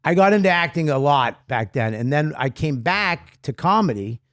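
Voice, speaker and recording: A man's voice: fairly high pitch, animated, moderate pace, a bit bright, dry recording, studio quality. A man from Boston with a Boston accent, a comedian guest telling his life story in a rambling, self-deprecating way. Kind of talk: interview storytelling.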